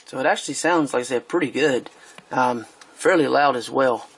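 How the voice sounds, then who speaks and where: A man's voice: medium pitch, moderate pace, fairly bright tone, a little hiss or hum, rough recording. A man giving a casual product review while he handles a speaker on a tabletop, hesitant, with many fillers and restarts.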